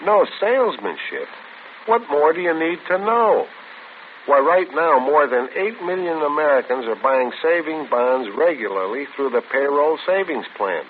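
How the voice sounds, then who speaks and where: Eager husband pushing his case, lively up and down, tinny old radio hiss. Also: fairly high pitch, moderate pace. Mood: persuading. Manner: eager, arguing his point. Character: earnest, persuasive young husband. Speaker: radio actor. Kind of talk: 1940s radio acting.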